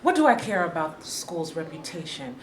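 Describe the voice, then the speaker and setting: A woman's voice: low-pitched, big pitch swings, brisk. Woman as weary resolute wife, dismissive, stage play, echoey room, faint noise. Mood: defensive. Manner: firm, dismissive.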